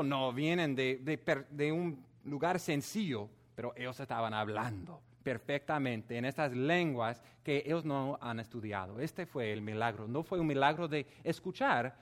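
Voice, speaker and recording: A man preaching a Bible teaching sermon in a conversational, animated way, with frequent hesitations. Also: mid-range voice.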